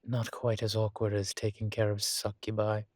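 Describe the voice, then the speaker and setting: A man playing a weary incubus college professor, gruff but fond, in an intimate scripted audio roleplay, speaking haltingly with sighs. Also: fairly low pitch, monotone, no echo, studio quality, lightly acted. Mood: weary, candid. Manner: slow, halting, sighing, candid.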